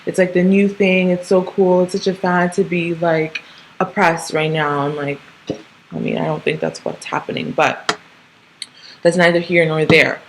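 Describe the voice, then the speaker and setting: A woman's voice: fairly low pitch, level tone, normal speed, slight background noise, poor audio. A woman in her thirties who grew up in Canada talks through a casual solo podcast monologue, rambling with frequent ums, restarts and tag questions. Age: 30s. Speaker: podcast host.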